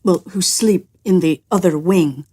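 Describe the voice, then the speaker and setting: A woman voicing a hesitant gentleman confiding a tale at his club in a storybook reading. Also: on the low side, unhurried, slightly rough.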